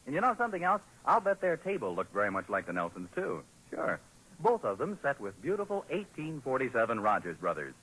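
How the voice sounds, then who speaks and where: A man announcing on 1940s radio in a forceful, slightly rough voice. He sells folksily and smoothly, with lively swings of pitch over an old broadcast recording. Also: fairly quick, rough recording. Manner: folksy, polished salesmanship.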